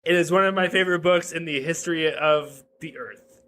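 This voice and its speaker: A man's voice: fairly high pitch, a little fast, pushed and bright. A man in his thirties from Nevada, a podcast guest chatting casually, joking and full of "like" fillers and restarts. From Reno, Nevada. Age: 30s. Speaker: podcast guest, web developer. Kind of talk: casual interview chat.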